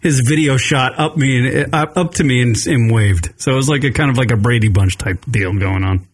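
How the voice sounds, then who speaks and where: A man's voice: medium pitch, moderate pace. A man hosting a casual radio talk show, joking around with crude banter. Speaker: host.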